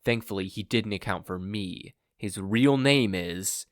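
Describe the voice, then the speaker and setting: A performer voicing a teasing male ghost who pauses for dramatic effect. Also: deep voice, dry recording, studio quality. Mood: teasing, mysterious.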